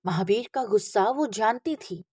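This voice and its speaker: A woman's voice: mid-range voice, animated, normal speed, darkish tone, breathy edge, no room sound, studio quality. A woman reading a literary story aloud as an audiobook narrator, with expression.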